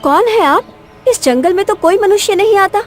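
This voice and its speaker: A sweet young forest maiden, played by a woman, with a very high, girlish voice. She sounds caring and emotive in a cartoon tale, over faint music. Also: animated, normal speed. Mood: curious. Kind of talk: dramatized cartoon story dialogue.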